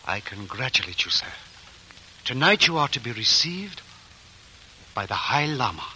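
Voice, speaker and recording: An actor playing a polite, formal, deferential servant of a monastery in a 1940s radio drama. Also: male voice, medium pitch, sing-song, normal speed, very noisy, natural voice. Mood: courteous. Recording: old radio broadcast with music.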